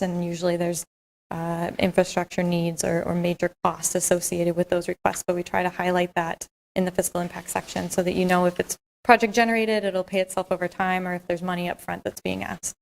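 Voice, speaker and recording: A soft-spoken woman walks a council through policy in a level, even tone. Her voice sits a little low. She explains carefully and steadily, with the odd filler and restart. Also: poor audio. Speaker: city staff presenter. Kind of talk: formal presentation at a council meeting.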